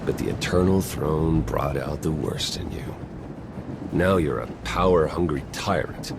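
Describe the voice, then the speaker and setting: An actor playing a bitter, resolute agent turned traitor in a video game, speaking in a wry, grim, deliberate manner. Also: male voice, rumbling low, gravelly, noisy background, full character voice. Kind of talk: video game cutscene acting.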